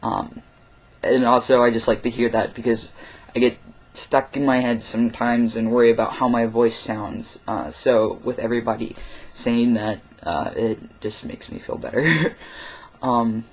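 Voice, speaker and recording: A man's voice: medium pitch, steady tone, unhurried, poor audio. A young man talking candidly in a personal vlog, late at night at home, rambling with lots of fillers and wry asides. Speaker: vlogger.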